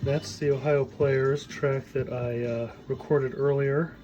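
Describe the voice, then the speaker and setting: A middle-aged man giving a casual hands-on demonstration of equipment he repairs, speaking off the cuff with hesitant pauses as he works. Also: medium pitch, flat delivery, highs cut off, music in the background. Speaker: hobbyist audio equipment repairer demonstrating a tape deck.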